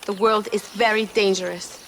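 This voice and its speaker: A woman's voice: mid-range voice, steady tone, moderate pace, lightly acted. An actress playing an earnest young Mexican woman rebel in a video game, speaking formally and solemnly with a Mexican accent. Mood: solemn, grieving. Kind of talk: dramatic game dialogue. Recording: faint outdoor insect and bird noise.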